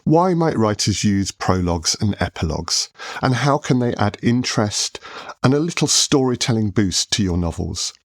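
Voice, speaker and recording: A man hosting a relaxed podcast discussion, posing questions with dry, teasing humour. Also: fairly low pitch, moderate pace. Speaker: podcast host.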